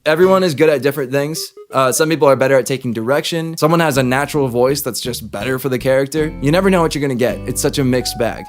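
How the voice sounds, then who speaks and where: A man with a mid-pitched voice gives casual, spoken critique as a host. He is conversational, supportive and candid. Soft guitar music plays faintly behind him. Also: fast, few pauses. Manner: conversational, encouraging, frank. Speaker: host judging voice acting auditions.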